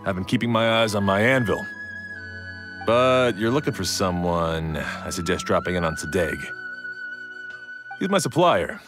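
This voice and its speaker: Casting: low-voiced, gruff yet helpful blacksmith for a game, lively intonation. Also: male voice, a little slow, pauses often. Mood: helpful, matter-of-fact. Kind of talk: video game voice acting. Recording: flute music behind the voice.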